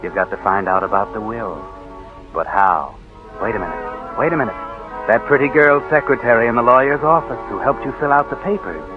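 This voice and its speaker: An actor playing a brooding, taunting narrator of a 1940s radio crime thriller, ominous and insinuating. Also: male voice, medium pitch, fairly quick, close to an everyday voice. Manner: ominous, insinuating second-person address. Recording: tinny 1940s radio recording, loud music.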